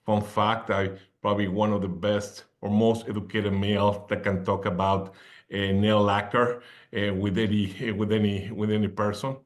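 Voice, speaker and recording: A man's voice: on the low side, flat delivery, normal speed. A Mexican man in his fifties with a Mexican accent, a podcast guest talking loosely with lots of fillers and restarts. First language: Spanish. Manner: rambling, with many fillers and restarts. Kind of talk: conversational interview.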